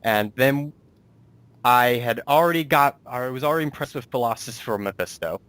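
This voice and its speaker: A man's voice: medium pitch, normal speed, fairly bright tone, smooth. A man hosting a casual interview as a director, explaining things in a rambling way and restating himself. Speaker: interviewer and director of an amateur audio drama.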